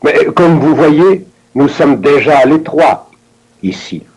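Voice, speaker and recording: A man reading scripted dialogue for a language course with an expressive, theatrical delivery. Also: fairly high pitch, slow pace, husky, poor audio.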